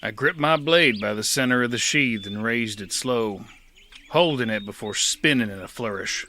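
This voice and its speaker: A man's voice: mid-range voice, normal speed, sharp tone, slight background noise, lightly acted. A man narrating and playing a rugged, weary gunslinger in an audio drama, with a dry, terse delivery. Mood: steady. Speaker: narrator and lead.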